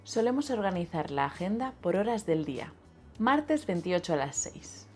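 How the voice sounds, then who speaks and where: A woman's voice: on the low side, big pitch swings, moderate pace, darkish tone, faint music behind. A woman, a teacher doing a voice-over that walks through examples. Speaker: Spanish teacher.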